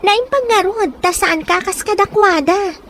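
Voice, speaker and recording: Woman acting very high-pitched, kind young orphan girl. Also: a little slow, fairly bright tone. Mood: teasing, concerned. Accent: northern Philippine. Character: poor, hard-working orphaned young woman, kind and resilient. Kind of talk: acted audio drama dialogue. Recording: faint background noise.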